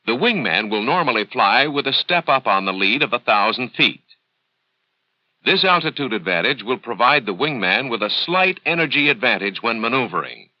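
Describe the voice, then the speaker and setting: An American man narrating a formal instructional film script in a measured, authoritative, precise manner, on an old 1970s film soundtrack. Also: mid-range voice, dry recording. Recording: dull, dry 1970s training film soundtrack.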